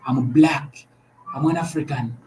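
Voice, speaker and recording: A man's voice: mid-range voice, a little slow, a little mellow, a little hiss or hum, low-quality recording. A man from Uganda co-hosting a talk show, giving earnest political commentary and often repeating words as he restarts.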